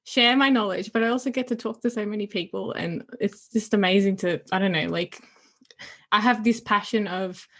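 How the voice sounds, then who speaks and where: A woman hosting a casual podcast interview, speaking English as a second language, enthusiastic and quick to laugh. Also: mid-range voice, fairly bright tone. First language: not English. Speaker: podcast host.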